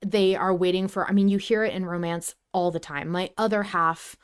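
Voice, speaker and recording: A woman's voice: fairly low pitch, fairly even intonation, normal speed, clear, resonant tone. Emphatic woman podcast co-host. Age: 30s. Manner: emphatic, earnest, talks in bursts. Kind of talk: casual fan discussion podcast.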